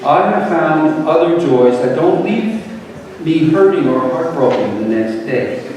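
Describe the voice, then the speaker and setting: A man's voice: on the high side, expressive, measured pace, darkish tone. A man playing a devout, forgiving father, earnest and preachy, in a dramatic reading of a parable. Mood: firm, loving. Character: devout, grieving, forgiving father pleading with his wayward son. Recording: very echoey hall from far off, faint noise.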